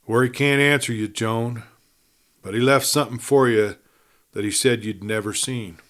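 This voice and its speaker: A man's voice: mid-range voice, steady tone, moderate pace, a bit bright, close to an everyday voice. A man voicing a wounded young outlaw, gloomy, hardened and plain-spoken, in a western story. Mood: grim, dismissive.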